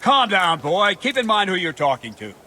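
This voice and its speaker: A man playing a stern, gruff medieval captain who drills a recruit with clipped commands in a video game. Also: sits high, big pitch swings, moderate pace, pushed and bright, slight background noise, exaggerated voice. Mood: scornful, stern. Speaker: combat trainer, captain of the guard. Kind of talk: video game cutscene acting. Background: background music.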